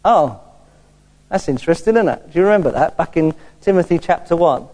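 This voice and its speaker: A man's voice: fairly high pitch, animated, fairly quick, a little mellow. A man preaching an informal Bible teaching sermon in a conversational, explanatory way with wry asides. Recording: reverberant hall sound.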